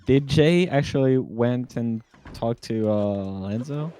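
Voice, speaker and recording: A man speaks slowly with dry remarks as he co-commentates a game, laughing often. Faint music plays underneath. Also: medium pitch, animated, mellow.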